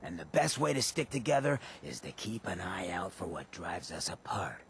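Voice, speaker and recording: An actor playing a swaggering, charismatic mayor of freaks, giving a rousing speech with drawn-out dramatic pauses in a video game. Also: male voice, deep voice, big pitch swings, slight background noise, exaggerated voice. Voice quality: gravelly.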